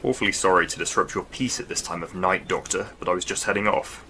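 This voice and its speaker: Guy doing dry brit military boss, audio drama, lowish voice, clipped and polite, slight background noise. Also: quick pace, sharp tone. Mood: polite, brisk. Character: dry, sceptical male military commander.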